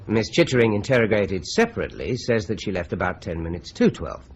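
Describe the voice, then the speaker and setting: An actor playing a methodical male detective who reads out alibis in a measured, deliberate way in a radio drama. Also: lowish voice, sing-song, muffled recording, slight background noise. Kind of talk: 1950s-style BBC radio drama.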